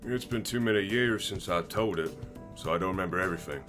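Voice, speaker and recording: A man playing a genial older man who shares moral tales in a measured, thoughtful way for an audio roleplay. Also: deep voice, lightly acted. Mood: reminiscing. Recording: music behind the voice.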